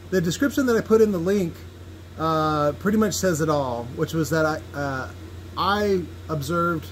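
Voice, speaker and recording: A man with a fairly high voice talks through hands-on technical tests in a casual, unscripted way. He pauses and restarts as he thinks aloud, and is free with his opinions. The recording is from a handheld device in a workshop, with a light layer of background noise. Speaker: host demonstrating speaker tests. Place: indoor workshop at a cluttered bench. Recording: noisy workshop with background hum.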